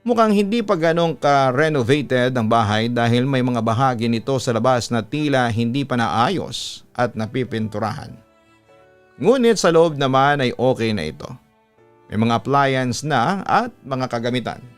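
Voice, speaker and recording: Filipino male storyteller over steady background music. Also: medium pitch, normal speed, smooth. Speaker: radio announcer and horror story narrator. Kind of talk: scripted storytelling narration of listener letters. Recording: music bed under the voice.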